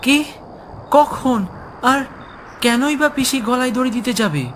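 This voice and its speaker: A man voicing a gentle, distressed teenage village boy with a pleading, bewildered manner in an animated horror story. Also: very high voice, takes it slowly, a little hiss or hum, pitched above the performer's own voice, exaggerated voice. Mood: shocked. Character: gentle, distressed motherless eighteen-year-old village boy.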